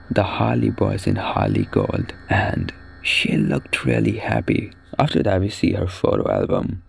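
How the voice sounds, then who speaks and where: Male narrator for a casual horror recap video; deep-leaning, very creaky delivery. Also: darkish tone.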